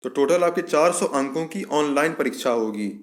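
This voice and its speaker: A man with a slightly high voice. He explains official details step by step like an online instructor, restating key points so they stick. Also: lively intonation, brisk. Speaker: exam information channel host. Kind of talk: explanatory walkthrough of a recruitment notice.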